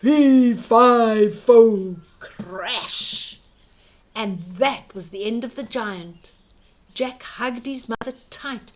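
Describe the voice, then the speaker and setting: A woman reading a bedtime story aloud to children, dramatically, with emphatic drawn-out words and hushed suspense. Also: a little high.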